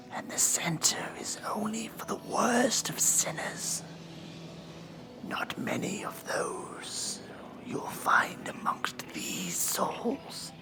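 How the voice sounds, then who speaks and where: A man voicing an ancient, weary demon judge of hell in a theatrical, breathy whisper for an ASMR role-play. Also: high voice, full character voice. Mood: solemn, instructing. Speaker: performer of a horror role-play.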